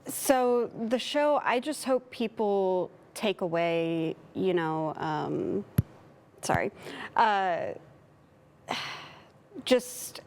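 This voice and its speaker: A woman's voice: medium pitch, drawn-out words, long pauses, a little mellow, slight background noise. A woman answering interview questions as a theater cast member, conversational and somewhat hesitant as she thinks aloud.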